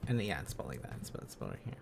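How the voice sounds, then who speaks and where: A man hosting an informal language-learning livestream, thinking aloud in a halting, casual, self-correcting way. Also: extremely low pitch, wildly swooping pitch, creaky, background noise. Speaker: livestream host learning Korean along with viewers.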